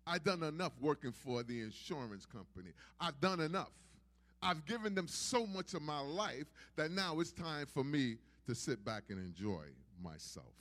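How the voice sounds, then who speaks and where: A man's voice: fairly high pitch, animated, normal speed, a bit bright. A man preaching a sermon in an emphatic, repetitive preaching cadence. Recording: long hall reverb.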